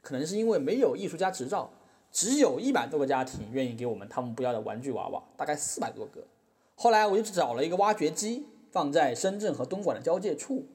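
Male, ironic mock-humble artist as acted for courtroom satire, higher voice with big pitch swings. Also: a little mellow. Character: wry, mock-apologetic male artist defending himself in court. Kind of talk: scripted satirical monologue in a podcast drama.

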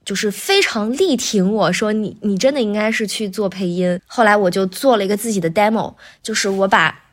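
A woman speaks as an interview guest, a working voice actor. Her pitch sits in the middle, and she talks casually, recounting things in an animated storytelling manner. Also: brisk.

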